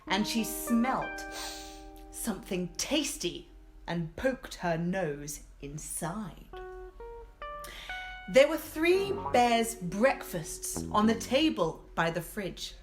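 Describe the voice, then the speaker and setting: A woman telling a rhyming children's story in a theatrical, expressive way. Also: medium pitch. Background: guitar music.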